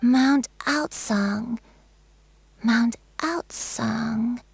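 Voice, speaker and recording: An actress playing a gentle, troubled granny in a video game, speaking in a halting, apologetic way. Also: female voice, fairly high pitch, slightly rough, no room sound, full character voice. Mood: distressed, confused. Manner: halting, hesitant, soft-spoken. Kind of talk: video game character acting.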